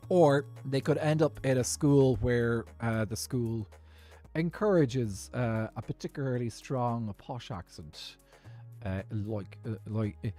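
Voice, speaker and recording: A man's voice: mid-range voice, slow pace, mellow, faint music behind. A man with a Dublin Irish accent, rambling casually as he chats while gaming. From Ireland. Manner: rambling, talks while playing. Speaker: streamer.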